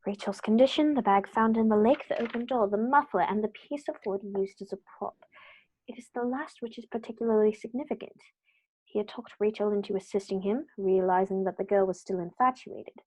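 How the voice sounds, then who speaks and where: A woman playing a sharp, confident male detective in a radio play, crisp and brisk as he reasons through the clues. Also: mid-range voice, lightly acted, low-quality recording. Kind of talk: amateur radio play acting.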